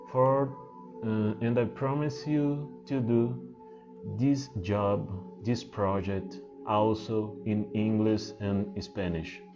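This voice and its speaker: Slow, halting man with Portuguese accent. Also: fairly low pitch. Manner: hesitant, halting, many fillers and restarts. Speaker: spiritual teacher. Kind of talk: unscripted spiritual talk to camera. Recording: muffled, with singing bowl music behind.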